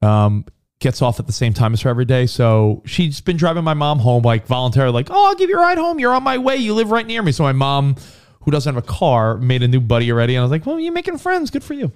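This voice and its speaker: A man co-hosting a loose podcast conversation, in a plain mid-range voice. He swears freely and tells family stories with animated delivery, putting on other people's voices as he goes. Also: mid-range voice, quick pace, smooth. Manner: profane, animated storyteller who mimics his mother. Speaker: podcast co-host. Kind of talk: casual chat.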